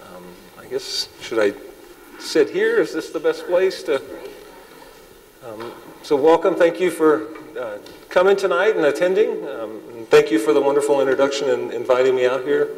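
A man in his thirties, a cardiologist, giving a public lecture to an audience in a large hall. He has a loud voice and talks haltingly, with many ums and restarts. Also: on the high side, animated, slight background noise. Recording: long hall reverb.

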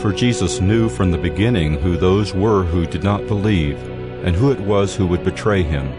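A man narrating scripture from a script, measured and solemn. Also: sits low, over loud music.

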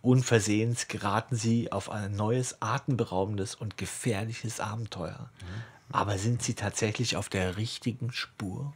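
A man's voice: lowish voice, fairly even intonation, measured pace, slightly rough. A man co-hosting a podcast in a casual discussion, sometimes reading aloud. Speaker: podcast co-host.

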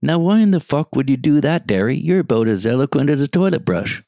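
An actor playing a stubborn, curt small-town farmer in a deadpan comedy script reading. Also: male voice, a little high, fairly quick, gravelly, dry recording, clean studio sound. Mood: curt, dismissive. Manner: deadpan, curt, rural slang. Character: blunt, stubborn small-town farm tough guy. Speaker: actor in a script table read.

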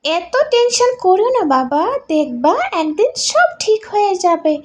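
A chatty little village girl from a cartoon. Her voice is extremely high, and she talks in a pert, spirited, childlike way. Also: very animated, measured pace, exaggerated voice. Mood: eager, hopeful. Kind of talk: cartoon voice acting.

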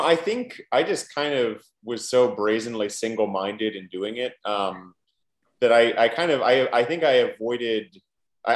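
A film director on a video call, talking in halting bursts full of restarts and fillers. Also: male voice, medium pitch, echoey room.

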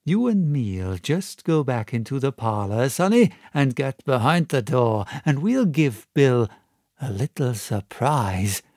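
A man's voice: mid-range voice, sing-song, slow, darkish tone, dry recording, studio quality, full character voice. A man voicing a fawning, sneering middle-aged pirate with a maimed hand, wheedling and falsely friendly.

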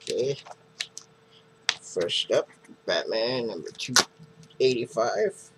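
A man in his thirties, sitting at a webcam in a small bedroom, slowly listing off comic books he won at auction. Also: medium pitch, expressive, heavy background noise. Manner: slow, plain listing of items. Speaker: comic collector vlogger. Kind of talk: casual show-and-tell vlog. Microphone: webcam at close range.

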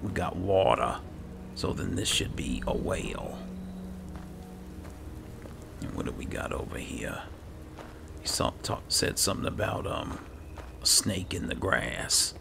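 Gravelly, very deep-voiced man, slow and low-key. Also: lively intonation, background noise. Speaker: gamer host playing and roleplaying a fantasy game. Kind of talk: casual game commentary with read-aloud notes.

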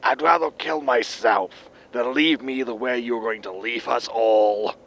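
An actor playing a bitter, ailing future spaceship captain, with a wheezy smoker's voice and a scornful, lecturing manner, in a science fiction comedy audio drama. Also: male voice, medium pitch, fairly even intonation, a little slow, fairly bright tone. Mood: angry, threatening. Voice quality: wheezy smoker's lungs, coughing.

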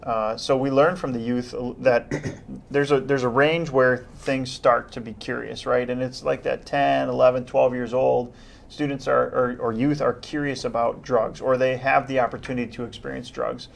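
A young man, a police officer guest on a local TV talk show, talking in an animated, casual way in a studio on a lapel mic. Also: mid-range voice, normal speed, vocal fry. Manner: animated, gestures, repeats words. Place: TV studio, indoors.

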